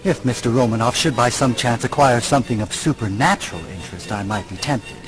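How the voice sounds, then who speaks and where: An actor playing a stuffy occult enthusiast in a video game, with a dry, wordy, formal manner. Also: male voice, medium pitch, fairly quick, close to an everyday voice, low-quality recording. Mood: dryly weary. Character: dry, stuffy man obsessed with the supernatural. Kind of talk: video game dialogue acting. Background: music.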